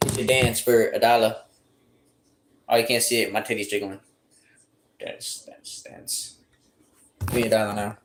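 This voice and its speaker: Man, early 30s, casual livestream chat, crude jokes, loud bursts. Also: lowish voice, level tone, measured pace, pauses often, noisy background. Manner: profane, joking, loud outbursts.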